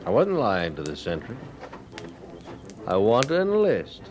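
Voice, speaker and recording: An actor playing a wry, laconic frontier drifter with a folksy, evasive manner in an old radio western. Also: male voice, fairly low pitch, wildly swooping pitch, a little slow, darkish tone. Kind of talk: 1950s radio western acting. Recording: old radio recording with faint noise.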